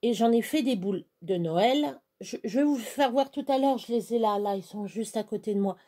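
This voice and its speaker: A woman's voice: on the high side, moderate pace, dark tone. An older woman from central France, chatting casually to her followers with many hesitations and restarts while she works on crafts. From Touraine, France. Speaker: craft vlogger. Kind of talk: rambling casual chat.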